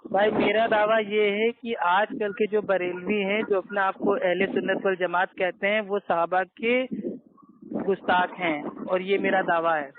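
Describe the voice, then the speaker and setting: A man's voice: high voice, fairly even intonation, unhurried, a little hiss or hum. A man in an argumentative phone debate, speaking insistently and appealing to a moderator. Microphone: telephone. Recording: tinny narrowband conference phone call.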